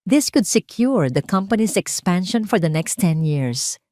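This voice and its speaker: A woman's voice: on the low side, expressive, unhurried, dark tone, no echo. A woman narrator plays a deceitful businessman husband in an audio drama. He is smug and self-assured, recorded close and dry.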